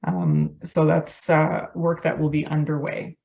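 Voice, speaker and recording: A woman's voice: sits low, sing-song, unhurried, vocal fry, warm tone. A woman presenting slides at a workshop in a hesitant way, with frequent ums and uhs.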